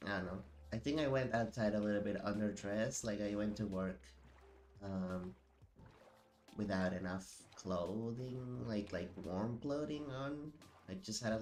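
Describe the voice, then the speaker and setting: A sniffly man talks slowly and loosely into a desk mic while he plays, filling his sentences with "like" and starting over mid-thought. Faint game music sits low behind his voice. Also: darkish tone. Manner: rambling and chatty, many "like"s and self-corrections. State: sniffly from allergies. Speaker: streamer playing a video game. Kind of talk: casual live-stream chat while playing. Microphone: desk microphone.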